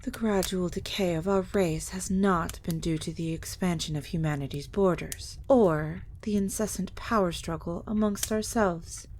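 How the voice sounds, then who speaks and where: A stately, centuries-old vampire matriarch, acted by a woman for an audio drama. Her voice sits a little low and her delivery is solemn, formal and commanding. Some noise sits behind the recording. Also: moderate pace, few pauses, darkish tone, close to an everyday voice. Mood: grave. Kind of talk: scripted audio drama acting.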